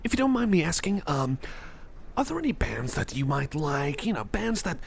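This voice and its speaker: A man's voice: a little high, sing-song, moderate pace, gravelly, slight background noise. A man playing a jittery, socially anxious, over-caffeinated guy in an ASMR roleplay, rambling and stammering as he repeats himself. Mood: giddy, nervous. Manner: rambling, stammering, repeats phrases, self-doubting. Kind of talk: ASMR roleplay monologue addressed to the listener.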